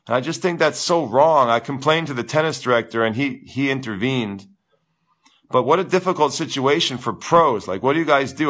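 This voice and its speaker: Booming-voiced male tennis coach ranting. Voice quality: big booming voice. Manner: animated ranting, repeats words, fillers like "you know". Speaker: tennis coach, show host. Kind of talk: live-stream chat with viewers.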